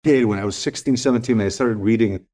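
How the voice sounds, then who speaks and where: A man's voice: fairly low pitch, fast talker, dark tone. A middle-aged man whose first language is Greek, a podcast guest telling stories and reflecting in an earnest, emphatic way. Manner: earnest, emphatic, repeats key points. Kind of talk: reflective conversational storytelling.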